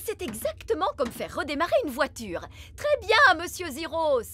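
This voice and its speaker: A woman voicing a brisk, emphatic nanny fairy in a children's cartoon. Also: high-pitched, very animated, sharp tone, slight background noise, heavily acted. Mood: matter-of-fact. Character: brisk, high-voiced nanny fairy, matter-of-fact and indignant. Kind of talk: dubbed children's cartoon acting.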